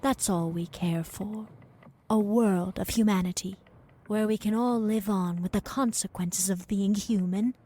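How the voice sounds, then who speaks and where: Actress as a weary, blunt woman for audio drama, mid-pitched, slow and halting, a little breathy. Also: fairly even intonation, warm tone, natural voice. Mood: weary, defiant. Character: confused, weary woman, blunt wine-drinking mother figure. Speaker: actor.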